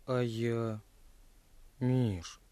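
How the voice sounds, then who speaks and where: An actor playing a naive, curious village boy in a radio play, with a husky voice and hesitant, folksy talk. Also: medium pitch, fairly even intonation, very slow, dark tone, no room sound. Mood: sly. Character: naive, curious, secretive young village boy, red-haired friend.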